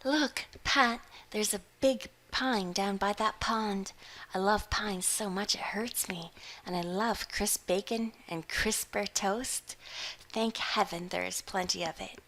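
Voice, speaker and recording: A romantic, excitable young woman, as a woman reading a storybook puts her on, gushing dreamily and a little higher than the narration. Her voice stays light and eager. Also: unhurried, hardly any pauses.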